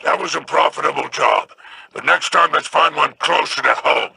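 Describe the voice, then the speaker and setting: An actor playing a greedy, smooth-talking male trader in a video game, scheming and self-satisfied. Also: a little high, steady tone, normal speed, sharp tone, a little hiss or hum, full character voice.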